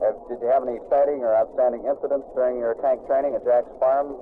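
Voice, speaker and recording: A man's voice: medium pitch, steady tone, fast talker. A man conducting a wartime radio interview aboard a navy ship, chatty and prompting, with light teasing. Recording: tinny lo-fi 1940s radio recording with hiss.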